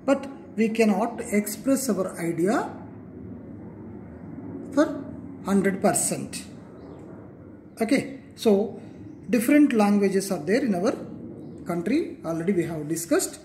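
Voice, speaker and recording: Slow Telugu-accented teacher, echoey room. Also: medium pitch, animated, mellow, a little hiss or hum. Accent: Telugu Indian English. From Telangana, India. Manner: repetitive, checks in with okay and clear. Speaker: school teacher. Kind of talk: classroom lecture.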